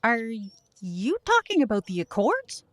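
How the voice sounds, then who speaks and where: An actress playing a focused, supportive young woman, steady and earnest, in an audio drama. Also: a little high, a little slow, no echo. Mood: curious.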